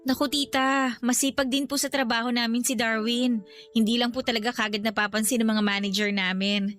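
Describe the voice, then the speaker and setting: A woman playing a gentle, reassuring young niece in a radio drama, with a Filipino accent. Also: a little high, fairly even intonation, brisk, faint music behind, natural voice. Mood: polite, eager. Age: young adult. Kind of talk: Tagalog radio drama acting.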